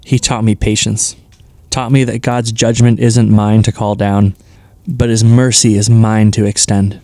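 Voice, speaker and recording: A man's voice: lowish voice, level tone, a little slow, dark tone, dry recording. A man playing a once hot-headed, now humbled fisherman disciple in an audio drama, reflective and earnest.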